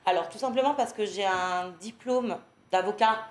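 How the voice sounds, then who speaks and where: A woman's voice: mid-range voice, normal speed, echoey room. A woman lawyer from France, debating with pointed rhetorical questions. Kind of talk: televised debate.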